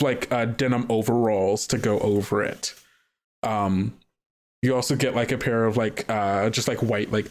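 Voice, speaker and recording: A man's voice: mid-range voice, a little mellow. Hesitant man improvising as game master. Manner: hesitant, thinking aloud, trailing off. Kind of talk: casual improvised narration for a tabletop roleplaying podcast.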